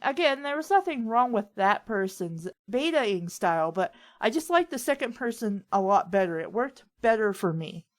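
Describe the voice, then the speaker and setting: A woman's voice: a little high, sing-song, moderate pace, hardly any pauses. Chatty woman sharing casual advice. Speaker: host of a writing advice talk. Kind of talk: casual chat, giving advice.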